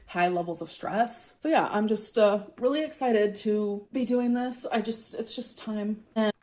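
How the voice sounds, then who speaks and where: A woman chats to her camera in a loose, gushing vlog manner, leaning on fillers like "like" and "um." Her pitch sits in an ordinary middle range. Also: unhurried, dark tone. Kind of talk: casual vlog talk to camera.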